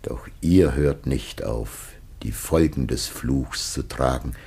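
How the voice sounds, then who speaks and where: A man's voice: a little mellow, exaggerated voice. An actor playing a dying old patriarch and father in a classic German radio drama, declaiming solemnly and weightily. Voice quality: very deep, husky.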